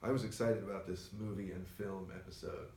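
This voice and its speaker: A soft-spoken man co-hosting a casual chat in a record store, talking in a low-key, rambling way. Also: steady tone, brisk, a little hiss or hum. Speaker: record store owner co-host. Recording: echoey store, mic at a distance.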